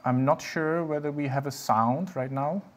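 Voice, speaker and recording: A man's voice: a little high, normal speed. Man chairing a conference session. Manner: polite and formal. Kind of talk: formal conference moderation. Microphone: video call.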